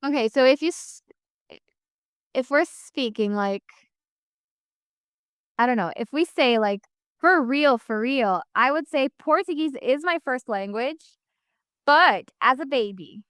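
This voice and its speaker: A woman's voice: high voice, expressive, measured pace, pauses often, a bit bright, dry recording. Young woman, lots of likes and restarts, dry close sound. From United States. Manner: hesitant, rambling, many fillers and restarts. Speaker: guest. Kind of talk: casual interview chat.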